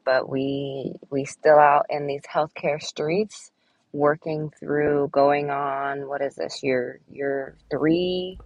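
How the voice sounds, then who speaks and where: A woman's voice: deep voice, fairly even intonation, takes it slowly, a little mellow, dry recording, dull audio. A woman co-hosting a casual podcast chat, tired from hospital work, laid-back and slangy. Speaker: podcast co-host, hospital worker.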